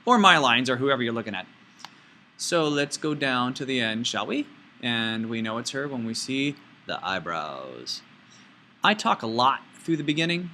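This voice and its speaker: A man teaching an informal step-by-step software tutorial, playfully talking himself through each action out loud. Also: medium pitch, animated, moderate pace, slight background noise.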